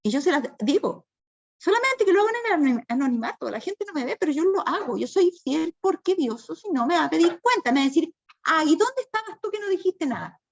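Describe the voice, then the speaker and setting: A woman's voice: very high voice, very animated, fast talker, vocal fry, dull audio. A woman leading a church Bible study, speaking in a firm, preachy yet chatty way.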